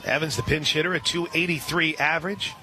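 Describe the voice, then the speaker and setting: A man doing live radio play-by-play of a softball game, relaxed and chatty, lifting his voice on big plays. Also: on the high side, fairly quick, crowd noise.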